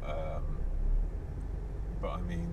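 A man's voice: very deep voice, level tone, very slow, far from the mic. A man hosting a podcast, chatting in a rambling, playful way full of wordplay and tangents while sitting in a moving car. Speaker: podcast host. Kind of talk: rambling casual monologue. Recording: noisy car interior.

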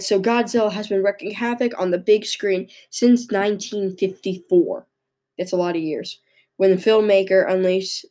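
A young woman with a cold and a hoarse voice, hosting a podcast and reading her notes in a halting way, often stumbling and restarting. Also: on the low side, warm tone. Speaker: podcast host. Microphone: microphone into a laptop.

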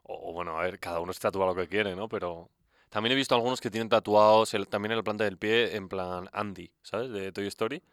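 A man's voice: on the low side, steady tone, fairly quick, fairly bright tone, smooth, no room sound, clean studio sound. A young man from Spain co-hosting a university radio show, trading jokes and teasing banter. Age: young adult. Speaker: co-host. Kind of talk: casual radio chat.

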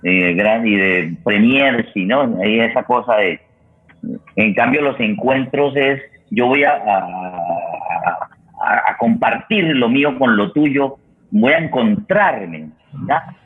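Colombian male radio host, lowish husky voice, reflective and halting delivery. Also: expressive. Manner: halting, reflective, repeats words while searching. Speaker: radio host and theater practitioner. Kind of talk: conversational interview and commentary. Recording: thin phone-like line.

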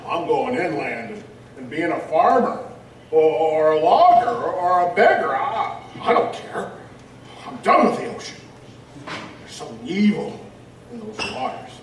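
A man's voice: a little high, very animated, a little slow. A man playing a frightened, agitated sailor in an audio drama, stammering and emphatic. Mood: fearful, resolved. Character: shaken, frightened sailor swearing off the sea. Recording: roomy, distant, faint background noise.

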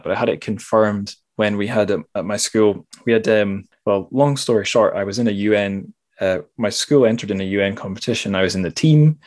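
A man hosting a podcast, talking casually and thoughtfully in a rambling way, often correcting himself as he goes. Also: fairly low pitch. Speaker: podcast host interviewing a guest. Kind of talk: casual conversational interview.

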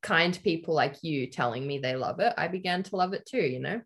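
A woman with a deep, low voice co-hosting a casual chat, talking loosely and laughing often. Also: animated, brisk, slight background noise. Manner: giggly, chatty, laughs often. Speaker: podcast co-host. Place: Australia.

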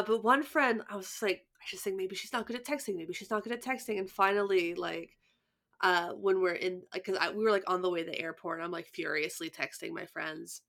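A woman's voice: fairly low pitch, vocal fry, fairly bright tone. A young adult woman co-hosting a podcast, chatting casually and rambling through stories with many restarts, sounding stuffed up with sniffles. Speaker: podcast co-host.

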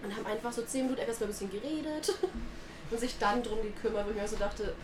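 A young woman of nineteen, fairly high-voiced, chatting and spinning lively anecdotes. Her voice bounces around an echoey room with steady background noise behind it. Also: fast. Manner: lively, animated storyteller who laughs at herself. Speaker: podcast co-host. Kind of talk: casual chat, storytelling. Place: sitting on a church pew.

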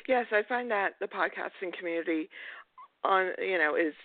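A man's voice: sits high, moderate pace, no echo. A man hosting an interview, asking rambling questions full of "you know.". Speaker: podcast host, interviewer. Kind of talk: casual interview chat. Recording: dry tinny phone-like line.

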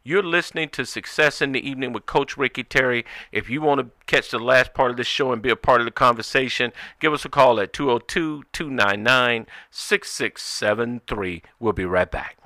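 A man hosting a radio talk show, conversational and deliberate, repeating phrases for emphasis. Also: mid-range voice, bright, forceful tone, no echo.

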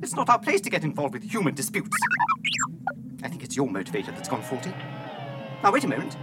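An actor playing an anxious, servile protocol droid in a radio drama, with prim, clipped diction and a formal, fretful, scolding manner. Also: male voice, fairly high pitch, wildly swooping pitch, fast talker, loud music behind the voice. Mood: indignant, anxious.

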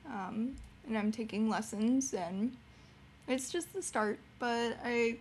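A woman's voice: slow, darkish tone, a little hiss or hum. A young woman with a youthful voice and a bubbly, giggly manner, chatting casually as a guest in an interview. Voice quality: high, youthful voice. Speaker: podcast guest, streamer and beginning voice actor.

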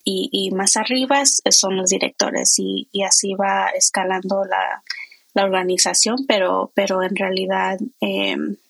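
A woman's voice: medium pitch, steady tone, measured pace, creaky, slightly rough, no echo, rough recording. A woman hosting a podcast interview as a coach, speaking in a friendly way with frequent fillers.

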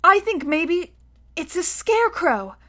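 A woman's voice: very high voice, very animated, takes it slowly, fairly bright tone, voice pushed up, full character voice. A woman voicing a small, outspoken, stubborn girl in a storybook, childlike and emphatic. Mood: explaining.

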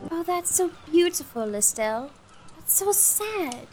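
A woman's voice: extremely high pitch, very animated, slow, darkish tone, faint music behind, full character voice. A woman playing a fretful, theatrical young lady in a gothic comedy audio drama, with old-fashioned, sighing diction. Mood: wistful, restless. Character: restless, fretful young gothic-novel-loving lady companion.

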